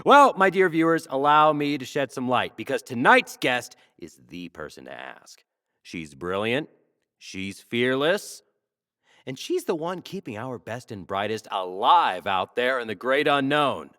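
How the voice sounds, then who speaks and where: A man playing a brash, self-adoring talk show host in an audio drama, showy, flattering and teasing. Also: medium pitch, a little slow. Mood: hyped showmanship.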